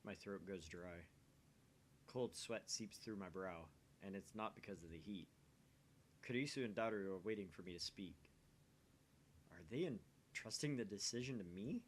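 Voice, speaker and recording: Low-voiced man reading, noisy rumbly recording. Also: normal speed. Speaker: let's player reading a visual novel aloud. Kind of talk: voice-acted read-through with casual asides.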